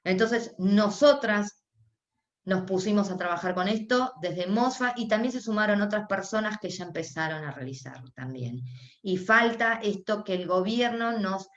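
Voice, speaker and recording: Halting woman with an Argentine accent. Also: mid-range voice, animated, echoey room, highs cut off. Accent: Argentine Spanish. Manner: halting, with frequent restarts and pauses while interpreting. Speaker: sign language interpreter voicing a deaf panelist. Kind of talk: live voice interpretation at a panel discussion.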